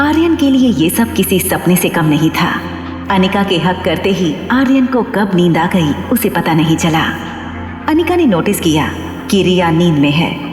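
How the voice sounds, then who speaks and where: A woman's voice: fairly low pitch, sing-song, unhurried, music in the background. A woman narrating a scripted dramatic audio story. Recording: echoey long reverb.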